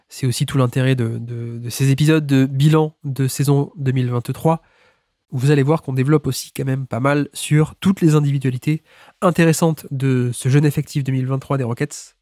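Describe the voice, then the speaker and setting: A man's voice: medium pitch, fairly even intonation, fairly quick, mellow, no echo, clean studio sound. A man with a cold hosting a basketball podcast, chatting through the introduction with restarts and small laughs. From France. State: has a cold. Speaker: podcast host.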